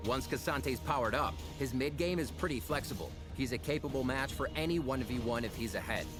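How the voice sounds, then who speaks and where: A man's voice: medium pitch, fairly quick. Man narrating over prominent music. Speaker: narrator of a game character guide. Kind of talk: scripted narration.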